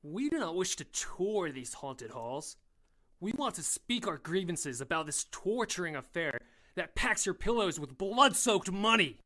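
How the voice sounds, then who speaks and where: A man's voice: sits high, sing-song, a little slow, pushed and bright, heavily acted. A man playing a pompous, fiery young crusader in an audio drama, speaking in grandiose archaic oratory. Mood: haughty, accusing. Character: pompous, fiery young male crusader rallying his followers.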